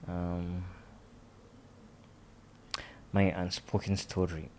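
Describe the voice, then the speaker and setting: Man, twenties, guest; very deep, slow, hesitant delivery. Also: flat delivery, a little mellow. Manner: slow, hesitant, with many fillers. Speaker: podcast guest. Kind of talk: casual interview chat.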